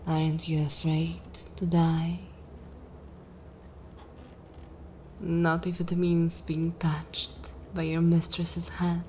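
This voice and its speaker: An imperious, seductive vampire mistress acted out by a woman speaks extremely slowly in a lowish register. She is theatrical and coldly mocking, moving between soft command and breathy whisper. It is a dark fantasy ASMR roleplay with faint noise behind the voice. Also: completely flat delivery. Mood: menacing, teasing.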